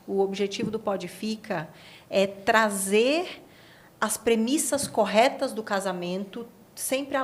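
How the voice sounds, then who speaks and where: A Brazilian woman talking conversationally as the host of an interview podcast. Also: mid-range voice.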